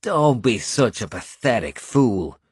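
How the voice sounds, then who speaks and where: A man voicing a blunt, gruff Irish male warrior with an Irish accent, scolding and coarse. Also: fairly low pitch, expressive, a little slow, no room sound, studio quality.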